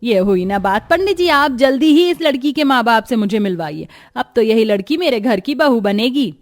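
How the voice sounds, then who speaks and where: A woman plays a middle-aged Indian mother-in-law in a cartoon story. She is bossy and obsessed with food, giving orders insistently in a fairly high voice. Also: animated, moderate pace, lightly acted. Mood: eager. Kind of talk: Hindi cartoon story voice acting.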